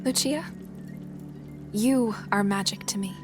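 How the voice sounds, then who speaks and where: A woman acting a reserved, homesick young noblewoman in a Regency audio drama, soft-spoken and sincere. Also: mid-range voice, slow, music in the background, lightly acted. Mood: tender.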